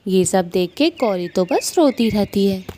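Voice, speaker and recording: A woman narrating a cartoon story in a plain, steady storytelling manner. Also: medium pitch, unhurried.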